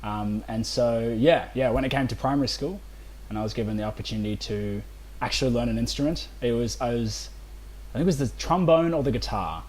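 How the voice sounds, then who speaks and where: A man's voice: mid-range voice, fairly quick, darkish tone, rough recording. Casual Australian man in his twenties. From Melbourne, Australia. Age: 20s. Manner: informal, conversational. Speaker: band vocalist, podcast guest. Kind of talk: casual chat.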